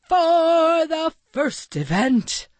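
Woman playing male animal master of ceremonies: polite, grand, slow, animated storybook delivery. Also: on the high side, slightly rough, dry recording, voice pushed up, exaggerated voice. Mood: courteous announcing. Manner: grand, courteous announcing. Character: Maxwell, polite, showy male pond host. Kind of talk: children's storybook character voice.

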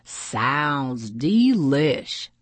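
A woman voicing a gloomy, self-pitying pond fish who grumbles and sighs in a drawn-out way in a storybook. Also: medium pitch, sing-song, no echo, heavily acted. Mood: resigned, glum. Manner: drawn-out, glum sighing.

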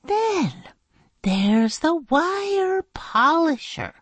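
A woman's voice: sits high, big pitch swings, very slow, dry recording, voice pushed up, exaggerated voice. A woman reading a storybook aloud, voicing a stern schoolteacher who is exasperated and sometimes drops to a tense whisper. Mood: admiring. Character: stern woman schoolteacher fussing over paperclips.

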